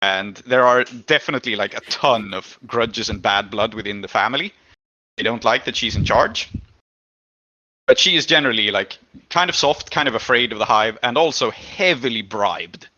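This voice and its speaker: A man's voice: fairly low pitch, normal speed, sharp tone, dull audio. A man running a tabletop game as game master, improvising the story and thinking aloud as he goes.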